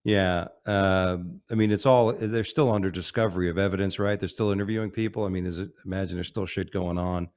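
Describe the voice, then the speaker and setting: A man's voice: deep voice, fairly even intonation, a little fast, hardly any pauses, darkish tone. A man co-hosting a talk show who speaks in a profane, emphatic, rambling way. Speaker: podcast co-host, police officer. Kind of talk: casual chat.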